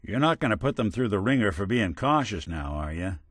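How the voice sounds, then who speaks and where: An actor playing a world-weary, wry but kindhearted android detective in a video game, with hardboiled old-fashioned slang. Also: male voice, fairly low pitch, sing-song, fairly quick, no room sound, clean studio sound. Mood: measured.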